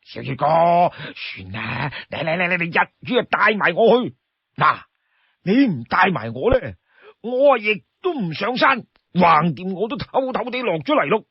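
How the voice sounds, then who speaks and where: Man acting high, swooping, wheedling outlaw brawler. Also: sing-song, a little slow, slightly rough, dry recording, exaggerated voice. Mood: pleading. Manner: pleading, exclamatory, stammers when cornered. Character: boisterous, wheedling outlaw warrior, eager for a brawl. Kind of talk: Cantonese storytelling character voice. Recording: dull, close dry studio sound.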